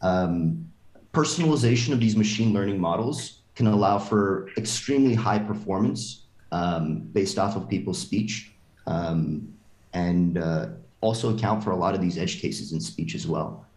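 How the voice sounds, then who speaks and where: A man's voice: sits low, expressive, moderate pace, a little mellow, roomy sound, poor audio. A man on a video call panel, talking as a startup CEO, often hesitating with fillers and restarts.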